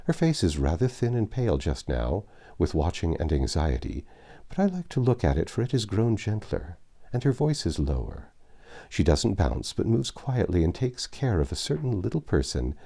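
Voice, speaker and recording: A man voicing a proud, tender middle-aged father in a storybook reading, speaking in a measured, fond, fatherly way. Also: deep voice, very animated, gravelly, warm tone, exaggerated voice. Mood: tender, approving.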